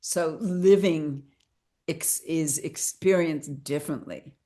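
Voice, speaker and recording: A woman hosting a reflective conversation, speaking slowly and choosing her words with care. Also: deep voice, warm tone. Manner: slow, thoughtful, careful with words. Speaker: host.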